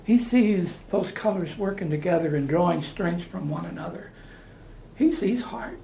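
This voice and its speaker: A man's voice: sits high, expressive, moderate pace, gravelly, dark tone, reverberant, slight background noise, poor audio. An American man preaching informally, talking in a conversational way, repeating phrases and restarting his sentences. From United States.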